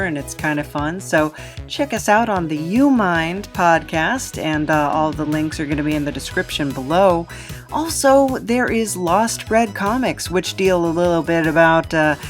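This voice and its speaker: A woman hosting a podcast, speaking in a casual, chatty style. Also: on the low side. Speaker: podcast host and writer.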